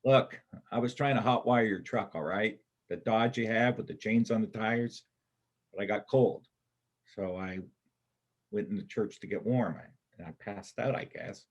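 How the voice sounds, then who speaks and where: A man acts out a wounded fugitive bank robber in a stage play reading. The voice is on the low side and rough around the edges. He is rattled and defensive, stammering and rambling as he explains himself. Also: normal speed, dull audio, lightly acted. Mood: weary, rambling. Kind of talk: readers' theater play acting.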